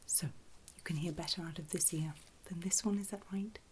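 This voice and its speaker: Asmr lady doing a medical exam roleplay, all soft breathy whispers, super gentle and reassuring, bit of hiss. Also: on the low side, fairly even intonation, normal speed. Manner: gentle, reassuring, checking in often. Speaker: ASMR performer playing an ear examiner. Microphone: close ASMR microphone.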